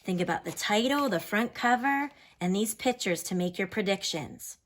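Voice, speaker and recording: A woman's voice: medium pitch, expressive, normal speed, a little hiss or hum. A woman teacher reads a children's book aloud expressively, stopping to explain words and pose questions in an instructive way. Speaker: elementary school teacher reading a picture book aloud.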